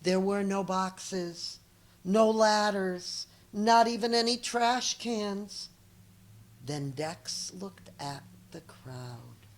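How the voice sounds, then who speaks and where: Slow-paced woman reading a storybook aloud. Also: mid-range voice, a little hiss or hum. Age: middle-aged. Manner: slow, deliberate, acts out dialogue. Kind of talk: expressive read-aloud storytelling for children.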